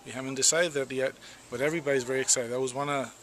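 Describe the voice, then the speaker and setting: A man's voice: mid-range voice, steady tone, rapid-fire, a bit bright. A man involved in organizing the camp, talking casually and rambling about plans. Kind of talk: interview answers.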